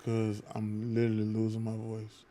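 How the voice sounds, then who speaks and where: A man's voice: fairly low pitch, completely flat delivery, normal speed, warm tone. A man hosting a podcast, asking questions and talking in an easygoing, conversational way. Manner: easygoing, conversational, drawls casual phrases. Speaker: podcast host. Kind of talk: casual interview chat.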